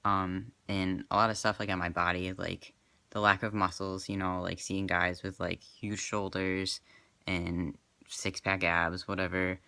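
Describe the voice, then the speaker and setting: Soft-spoken young man, hesitant and dry. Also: sits low, vocal fry, clean tone, dry recording. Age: 20s. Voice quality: slightly crackly. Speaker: vlogger. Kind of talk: casual personal vlog.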